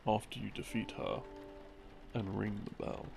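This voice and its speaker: Male, low pitch with heavy vocal fry, halting bedtime explainer, music underneath. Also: flat delivery. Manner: halting, unhurried, musing, trailing off. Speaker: audio creator playing a rambling boyfriend. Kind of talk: sleep-aid ASMR ramble, explaining from memory. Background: soft background music.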